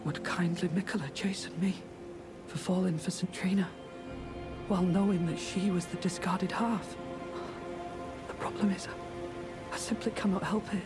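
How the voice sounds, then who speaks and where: An actor playing a gentle, timid, lovesick young man in a video game, hesitant and breathy, as if confessing. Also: sits high, fairly even intonation, moderate pace, close to an everyday voice. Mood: lovesick, haunted. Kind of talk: video game character acting. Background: music.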